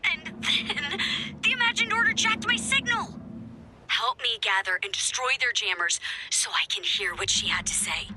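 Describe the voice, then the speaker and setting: A woman's voice: high voice, expressive, normal speed, heavy background noise. A woman playing an upbeat, idealistic young resistance fighter in a video game, earnest and confiding in manner. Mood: concerned.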